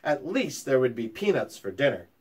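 A man voicing a plucky, curious young male mouse who talks in a brisk, chatty way. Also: medium pitch, very animated, a little mellow, breathy edge. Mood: cheerful.

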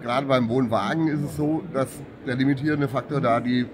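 A middle-aged man, a service technician, calmly explaining products in an interview at a trade fair booth. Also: mid-range voice, brisk. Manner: calm, technical, matter-of-fact explaining. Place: busy exhibition hall booth. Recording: trade hall with clear crowd noise behind.

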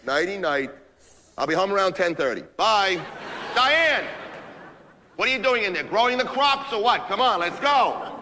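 A man's voice: sits high, moderate pace, sharp tone, a little hiss or hum. An actor playing an abrasive, self-important New businessman, ranting impatiently in a theatrical comic monologue of phone calls. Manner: impatient, ranting, barking orders and repeating himself. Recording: reverberant hall.

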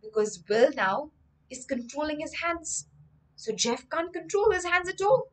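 Slow, expressive storyteller woman. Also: high voice. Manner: expressive, emphatic reading with frequent word explanations. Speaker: teacher, storyteller. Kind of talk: reading a story aloud and explaining vocabulary. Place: home room with bookshelves. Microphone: webcam framing, livestream.

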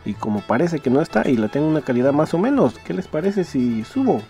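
Male podcast host, casual unscripted rambling, self-questioning, lively intonation, faint background music. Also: a little high, fast talker. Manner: rambling, asks himself questions. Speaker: podcast host introducing radio serial uploads.

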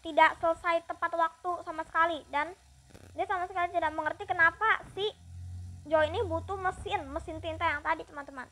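A woman's voice: very high-pitched, steady tone, fairly quick, bright, forceful tone. A young woman with an Indonesian accent giving casual let's play commentary as a gaming streamer.

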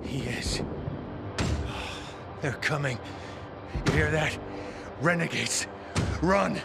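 Slow, grave man in urgent straits. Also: a little high, over loud music. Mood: urgent warning. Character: urgent, weakened man entrusting a vital key. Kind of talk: video game cutscene acting. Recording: clear ambient noise behind voice.

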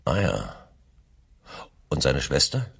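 A man's voice: extremely low pitch, sing-song, slow pace, gravelly, a bit bright, breathy edge, heavily acted. An actor playing a probing, insistent male chief police inspector in a German radio crime drama. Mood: pensive.

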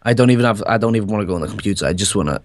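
A man's voice: on the low side, rapid-fire. A young man in an improvised game roleplay, speaking hesitantly with many ums and frequent laughs. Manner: hesitant, fills gaps with ums, laughs a lot. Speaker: roleplay co-player. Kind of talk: improvised game roleplay, voiced over gameplay.

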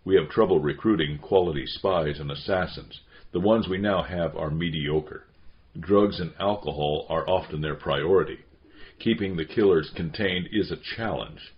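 A stern recruiter of spies , played by a male reader, talks in a very low voice. He is blunt and matter-of-fact, in a storybook reading with lo-fi sound. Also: moderate pace, a little hiss or hum. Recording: dull, lo-fi sound.

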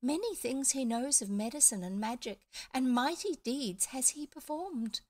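A woman's voice: animated, a little slow, darkish tone. A woman doing a sneaky parrot playing fairy queen. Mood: sly, grand. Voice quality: small high girlish voice. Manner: mock-archaic, grandly solemn. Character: sly female parrot faking a fairy queen. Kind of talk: reading a storybook aloud.

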